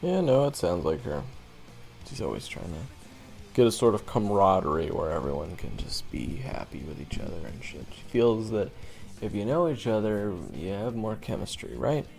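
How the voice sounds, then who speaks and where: A laid-back, cocky college guy, acted by a man, teases the listener in loose slang. His lowish voice slides widely up and down, offhand and casual, as in an audio roleplay. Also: sing-song, normal speed, creaky, a little mellow. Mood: teasing, relaxed. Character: cocky, laid-back young male monster-hunting college student. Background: guitar music.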